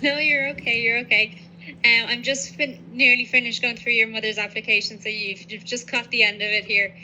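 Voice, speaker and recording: A woman's voice: on the high side, fairly even intonation, moderate pace, bright, forceful tone, slight background noise, low-quality recording. A woman working as a legal adviser, methodically explaining procedures to a client on a professional call. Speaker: legal adviser on citizenship applications.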